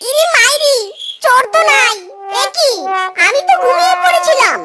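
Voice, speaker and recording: Picture a hapless male fox farmer from a cartoon. The voice is extremely high and childlike, full of folksy exclamations and complaint. Loud music runs behind it. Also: normal speed. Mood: alarmed. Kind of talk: Bengali cartoon dubbing.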